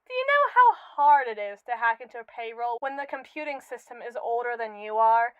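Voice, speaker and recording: A woman's voice: fairly high pitch, expressive, brisk, clean tone, dry recording, phone-quality sound, close to an everyday voice. A woman voicing a haughty, manipulative captive robot in a scripted ASMR roleplay, speaking in a pompous, wordy, theatrically indignant way. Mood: haughty, boastful.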